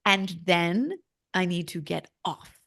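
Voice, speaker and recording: A woman with an American accent speaking conversationally as an interviewer, in reflective, deliberate phrases. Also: lowish voice, creaky, fairly bright tone.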